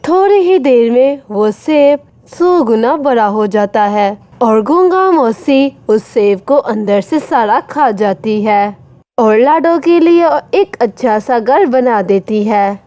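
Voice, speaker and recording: A woman's voice: a little high, animated, slow pace, dark tone, dry recording. A woman narrating a scripted children's story.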